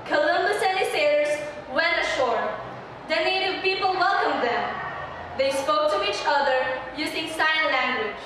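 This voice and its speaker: A woman's voice: high voice, lively intonation, a little slow. A young woman narrating a student readers' theater piece from a script. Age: young adult. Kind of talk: scripted narration read aloud on stage. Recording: distant mic, very echoey hall, faint noise.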